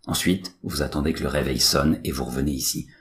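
A man's voice: a bass voice, moderate pace. A man playing an anxious, exasperated professor, agitated, bossy and scolding, in a comic audio story. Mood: angry, ordering. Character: anxious, exasperated male professor, easily angered.

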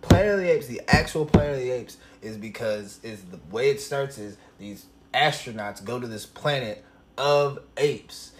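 A man's voice: medium pitch, animated, moderate pace, slight background noise. A man in his thirties co-hosting a podcast, blunt and wry with lots of swearing. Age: 30s. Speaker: podcast co-host. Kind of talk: casual chat.

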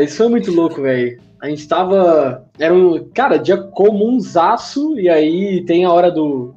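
A man in casual podcast chat, an animated storyteller who acts out other people in a squealing voice. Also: fairly high pitch. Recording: slightly dull sound with faint music.

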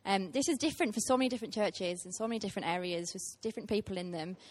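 A woman's voice: mid-range voice, very fast, a little mellow. A woman, preaching a heartfelt sermon to a church with frequent ums. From Teesside, England. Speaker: guest preacher.

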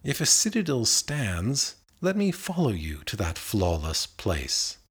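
A man reading a narrative poem aloud in a literary recitation, with measured, deliberate phrasing and expressive emphasis. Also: lowish voice, slightly rough. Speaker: reader of poetry.

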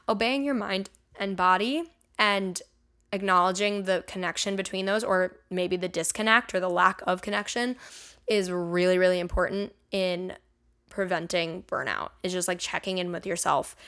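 A young woman talks through a casual solo podcast in a chatty, candid way. Her speech is loose and full of "like" and "um". Her voice sits at an ordinary middle pitch. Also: normal speed, fairly bright tone. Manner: chatty, filler-heavy with frequent "like" and "um"; candid and personal. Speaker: podcast host and songwriter.

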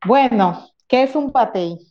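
A woman from the Dominican Republic with a Dominican accent, explaining things off the cuff in a halting, emphatic way that repeats words. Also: on the high side, expressive, mellow, lo-fi sound. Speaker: guest speaker in a group discussion. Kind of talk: unscripted explanation of lived experience.

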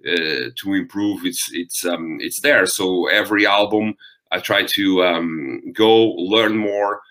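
Deep-voiced Portuguese metal singer for an interview, low and bright, hesitant pauses. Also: male voice. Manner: thoughtful, frequent ums and restarts. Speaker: metal vocalist guest. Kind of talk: interview conversation.

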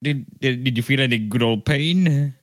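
A man from Sweden making teasing jokes in casual chat, drawing out his exclamations. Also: medium pitch. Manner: joking, teasing, drawn-out exclamations. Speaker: podcast co-host.

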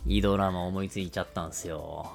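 A man around forty with a very low, creaky voice. He talks quickly, explaining things casually as a radio co-host. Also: steady tone. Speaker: radio show co-host, marketer. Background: background music.

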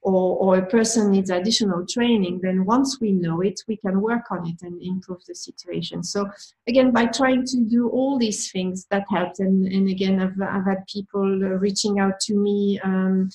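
A woman's voice: medium pitch, a little mellow, rough recording. A woman with a French accent, answering interview questions as a manager, thoughtful and with frequent restarts.

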